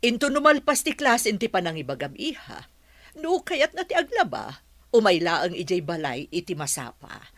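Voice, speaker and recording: A woman playing a brisk, businesslike schoolteacher in a radio drama, matter-of-fact in manner. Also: lowish voice, big pitch swings, a bit bright, dry recording. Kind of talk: Filipino radio drama acting.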